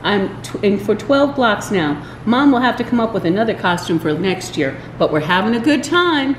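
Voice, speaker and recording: An older woman reading a children's storybook aloud, expressive and deliberate, with small stumbles. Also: medium pitch. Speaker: library story time reader. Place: indoors in a large library room. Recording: roomy echo, faint background room noise.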